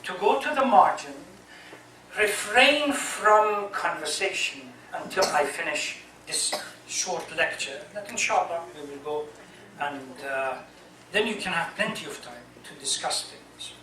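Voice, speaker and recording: A man, a native Persian speaker, delivering an impassioned, emphatic religious lecture as an imam, halting at times and posing rhetorical questions. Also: on the high side, lively intonation, bright, forceful tone. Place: echoey hall, speaking to a congregation. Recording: roomy distant mic, faint noise.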